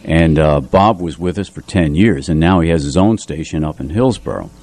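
Middle aged guy, really low voice, dry studio sound, radio host reminiscing casually. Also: moderate pace, darkish tone, no echo. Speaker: community radio show host.

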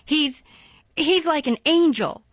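A woman's voice: on the high side, expressive, a little slow, fairly bright tone, dry recording, full character voice. A woman voicing an obstinate, sour little girl in a storybook reading, speaking sharply and stubbornly. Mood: defiant. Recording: dull, dry, lo-fi sound.

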